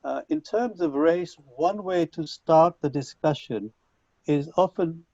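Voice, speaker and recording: A man whose first language is Punjabi, giving an online lecture to teachers in a measured, reflective way with frequent restarts, heard over a video call. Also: fairly high pitch, darkish tone, no room sound. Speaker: conference speaker. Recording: dull lo-fi video call audio.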